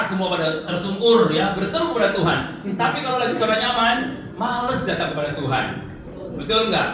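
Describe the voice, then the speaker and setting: A man's voice: sits high, background noise. A man from Central Java preaching a personal, conversational sermon, often putting rhetorical questions to his listeners. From Central Java, Indonesia. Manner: conversational, asks the congregation rhetorical questions and tag questions. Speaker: evangelist, guest preacher. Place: large echoey room before a congregation. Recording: echoey hall, distant mic, audience present.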